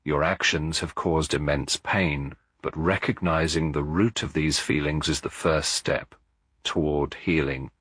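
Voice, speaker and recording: A man reading the part of a gentle, wise elderly lady sleuth in a mystery audiobook, measured, formal and reassuring. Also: very deep voice, fairly even intonation, husky, no echo, studio quality, full character voice. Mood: gently counselling.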